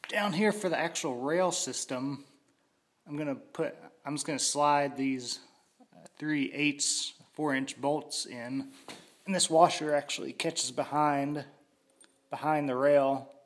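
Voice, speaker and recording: A man giving a casual how-to walkthrough in a garage, talking plainly with frequent restarts as he films on a handheld phone. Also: fairly high pitch. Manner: plain, halting, restarts mid-sentence. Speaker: DIY hobbyist showing a build. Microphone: handheld phone camera.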